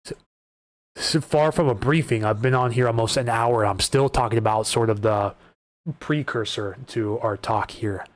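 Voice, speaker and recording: A man hosting a live weather stream, talking through wind data and viewer questions in a casual, conversational way, often restarting his sentences, his voice starting to go hoarse. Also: medium pitch, moderate pace, no room sound. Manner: conversational, repeats words and restarts while reading data. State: voice getting hoarse. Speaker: weather livestream host.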